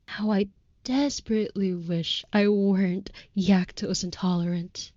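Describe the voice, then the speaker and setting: A woman's voice: mid-range voice, fairly even intonation, a little slow, darkish tone, no room sound, dull audio, natural voice. A woman voicing a devoted, overprotective female vampire in an intimate audio roleplay, with formal, old-fashioned, tender and earnest phrasing.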